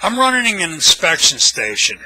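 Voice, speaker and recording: A man's voice: a little high, big pitch swings, normal speed, pushed and bright, poor audio. An older man with an American accent, telling anecdotes casually in a blunt, wry, salty way. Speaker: storyteller, video blogger.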